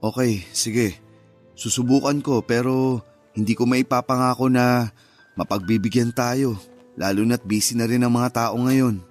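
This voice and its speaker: Guy acting as gentle grieving filipino husband in radio drama, mid voice, consoling and earnest, bg music. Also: medium pitch, fairly even intonation, measured pace, long pauses, lightly acted. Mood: reassuring, earnest. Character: gentle, protective grieving husband and father, a nurse.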